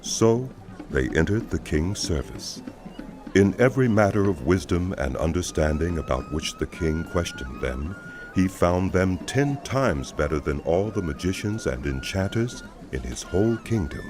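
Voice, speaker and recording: A male narrator with a profoundly deep voice tells scripture solemnly and dramatically. His intonation is lively within a measured storytelling style, and music plays clearly behind him.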